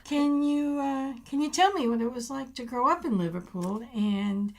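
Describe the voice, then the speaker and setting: A woman's voice: sits high, moderate pace. Hesitant woman hosting a talk show. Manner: hesitant, many ums and uhs. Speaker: host. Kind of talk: community TV interview.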